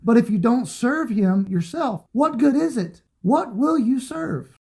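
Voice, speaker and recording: Devout counselor, high voice, earnest and pleading. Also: male voice, animated, measured pace, vocal fry, darkish tone, breathy edge, full character voice. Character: devout, principled older companion who warns the king. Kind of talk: audio drama acting.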